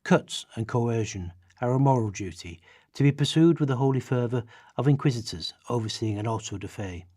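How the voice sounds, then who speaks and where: A man playing a stern moraliser, reading formal, declamatory rhetoric in a husky voice for a radio play. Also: mid-range voice, lively intonation, a little fast, few pauses, warm tone, dry recording, studio quality, natural voice. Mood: stern, emphatic.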